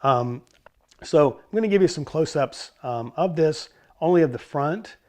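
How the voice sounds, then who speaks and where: A middle-aged man with a hoarse voice from a cold, hosting a casual explainer about vintage stereo gear and rambling a bit with fillers and self-corrections. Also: on the high side, animated, unhurried. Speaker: host of a vintage stereo channel.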